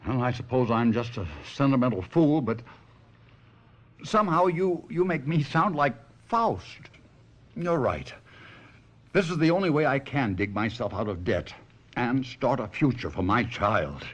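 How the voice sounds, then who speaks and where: Anxious ventriloquist, swooping pitch, radio drama. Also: male voice, animated, a little slow. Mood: uneasy, resigned. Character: troubled male stage ventriloquist in debt, anxious, sentimental. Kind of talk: 1970s radio mystery drama acting.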